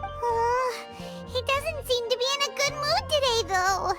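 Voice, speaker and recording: A woman voicing a tiny, excitable, childlike fairy companion in a video game, squeaky and blunt, speaking in exclamations. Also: a little slow, over music. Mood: curious. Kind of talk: video game character acting.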